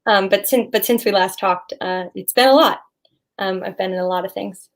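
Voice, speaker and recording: A woman's voice: medium pitch, moderate pace, close to an everyday voice. An actress playing an easygoing, slightly awkward young woman chatting with an old friend in naturalistic play dialogue. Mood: casual. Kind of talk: naturalistic play dialogue over video call.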